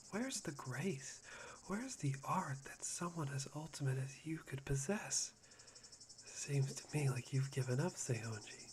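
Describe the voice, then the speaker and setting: An actor voices a peculiar young male student in an ASMR roleplay, fixated on hope and despair. His delivery is breathy, musing and theatrical, with a self-deprecating streak. Crickets and noisy ambience sit behind him at a middling pitch. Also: a little mellow, close to an everyday voice. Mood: condescending, pitying.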